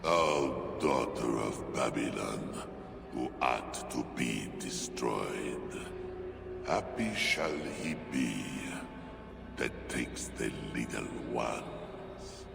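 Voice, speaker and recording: An actor playing a menacing, merciless demon in a video game, solemnly intoning archaic scripture in a gravelly growl. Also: male voice, a bass voice, animated, extremely slow, full character voice. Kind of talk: dramatic video game acting. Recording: distant, echoing hall with loud music.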